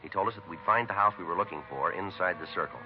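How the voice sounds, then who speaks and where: Male actor as stoic American cop narrator, very low voice, quick matter-of-fact delivery, vintage radio crime drama. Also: close to an everyday voice. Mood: flat, businesslike. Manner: deadpan, terse, matter-of-fact. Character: stoic, businesslike male police sergeant narrating a case. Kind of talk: 1950s radio police drama, clipped scripted narration. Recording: dull vintage radio recording with music.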